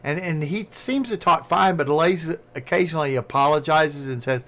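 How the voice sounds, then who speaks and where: A man with a Southern American accent chatting casually on a live stream, talking fast and rambling. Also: on the high side, a bit bright. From southern United States. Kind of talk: casual chat.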